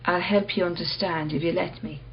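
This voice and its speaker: An actress playing a calm, enigmatic questioner in a radio play, measured, knowing and quietly insistent. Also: female voice, on the low side, dull audio, natural voice. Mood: calm, probing.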